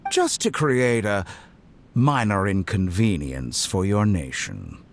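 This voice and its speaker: An actor playing a coolly mocking, cruel scientist villain in a video game, theatrical, smug and deliberate in his delivery. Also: on the low side, very animated, creaky, full character voice. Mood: smug, grandiose. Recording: music under the dialogue.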